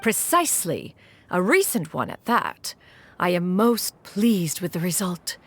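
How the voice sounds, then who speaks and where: A woman's voice: on the low side, big pitch swings, unhurried, a bit bright, heavily acted. A woman voicing an ancient female adept and inventor who speaks formally and archaically. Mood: proud. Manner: formal, archaic, calls herself "one".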